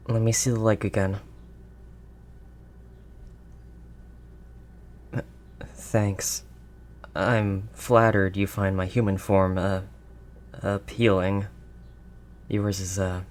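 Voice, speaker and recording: A man voicing a gruff but kindly werewolf of the wild woods in an intimate audio roleplay, with a halting, gently protective manner. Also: on the low side. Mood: bashful. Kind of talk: audio roleplay, intimate second-person acting.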